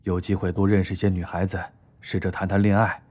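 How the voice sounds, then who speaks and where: An actor playing a calm, patient, middle-aged uncle and company boss in an audio drama, advising in a measured way. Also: male voice, low voice. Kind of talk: modern audio drama acting.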